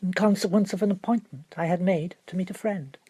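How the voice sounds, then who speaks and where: A man's voice: sits high, fairly quick, mellow, slightly rough, no echo. A man voicing a composed, firm aristocratic lady witness in an audiobook, giving plain, unyielding answers. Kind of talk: audiobook character voice.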